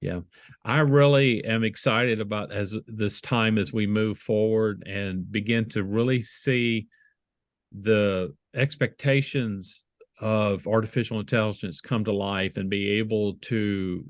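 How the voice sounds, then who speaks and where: A middle-aged man with a Southern American accent, answering interview questions over a video call in a measured way, often restarting his phrases. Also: lowish voice, steady tone, no echo, studio quality. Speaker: guest, IT leader.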